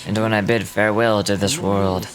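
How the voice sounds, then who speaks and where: A man voicing a weary, solemn old guide of lost souls in a game, reading dramatically in a grave, measured, reflective way. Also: fairly low pitch, level tone, natural voice. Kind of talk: dramatic read-aloud of game dialogue.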